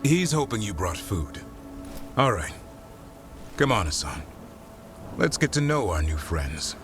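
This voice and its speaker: A man playing a gruff, wary monster-hunting warrior with a dry, guarded manner in a fantasy video game. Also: on the low side, very animated, measured pace. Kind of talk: video game cutscene voice acting. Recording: game music under the voice.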